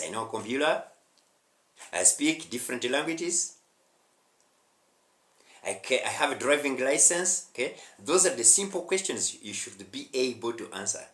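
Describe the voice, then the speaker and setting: A man's voice: mid-range voice, lively intonation, a little slow, a bit bright, roomy sound. A young Rwandan man with a Rwandan accent teaching a spoken English lesson, emphatic and often checking in with his listeners. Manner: emphatic, checks in with "huh?" and "hmm?".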